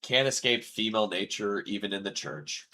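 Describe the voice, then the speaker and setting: Low-voiced stuffy dude streaming, bright edgy tone, keeps laughing and saying bro. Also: male voice, moderate pace. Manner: casual and blunt, laughs often, says dude and bro. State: stuffy nose. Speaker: streamer host. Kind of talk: casual livestream chat.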